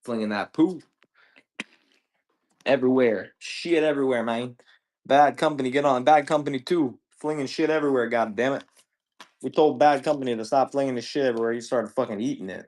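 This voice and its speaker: A man's voice: medium pitch, a little fast, a little mellow. Profane rambling young man streaming. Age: 20s. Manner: rambling, profane, slangy, lots of "bro". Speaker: livestream seller. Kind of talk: casual livestream chat.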